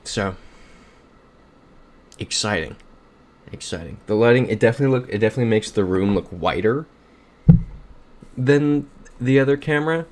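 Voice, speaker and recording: A man's voice: fairly low pitch, lively intonation, normal speed, long pauses. A young man streaming casually from a home room at a desk mic, upbeat, thinking aloud and reading out chat messages. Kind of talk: casual livestream commentary.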